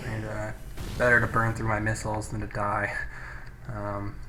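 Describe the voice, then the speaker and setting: A man's voice: lowish voice, completely flat delivery, normal speed. Man gaming on a noisy cheap mic. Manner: casual, sweary, thinking out loud. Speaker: let's play host. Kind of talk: casual gameplay commentary with read-out game text. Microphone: low-quality home microphone. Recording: noisy cheap microphone, poor audio.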